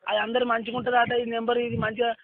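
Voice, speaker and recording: Very high-pitched pleading man on the phone. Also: level tone, quick pace, slight background noise. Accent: rural Telangana Telugu. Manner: pleading, insistent, repetitive, rustic. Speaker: customer care caller. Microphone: telephone line. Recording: dull lo-fi phone call.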